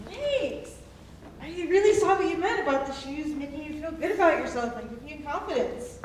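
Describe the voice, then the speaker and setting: A woman's voice: high voice, lively intonation, moderate pace. A woman playing a cheerful, newly confident friend in a staged reading. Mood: pleased, chatty. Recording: very echoey hall, distant mic, faint noise.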